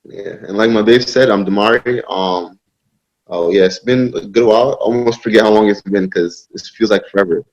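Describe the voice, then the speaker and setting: A young man talking quickly and affectionately, rambling a little. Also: fairly low pitch, darkish tone, dull audio.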